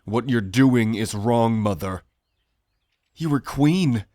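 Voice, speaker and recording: Guy acting as loyal principled young prince, earnest n formal, medium pitch, fantasy audio roleplay. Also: expressive, takes it slowly, darkish tone, no room sound, studio quality. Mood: righteous accusation.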